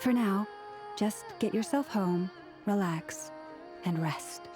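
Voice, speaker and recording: Music plays clearly behind a poised young female healer played by a woman in a video game. She has a medium-pitched voice and speaks formally, measured and articulate, with a businesslike care. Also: close to an everyday voice. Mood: composed concern.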